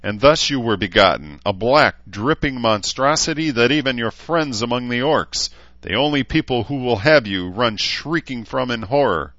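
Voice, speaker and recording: A man voicing a bold young knight on horseback who shouts challenges and taunts at his foes. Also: on the low side, expressive, a little slow, no room sound, muffled recording, pitched above the performer's own voice. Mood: taunting. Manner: loud, challenging, mocking. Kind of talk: audiobook character voice.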